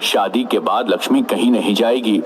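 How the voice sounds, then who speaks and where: A man's voice: fairly low pitch, normal speed, slight background noise, lightly acted, rough recording. A man voicing a middle-aged village father, concerned and firm. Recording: music behind the voice.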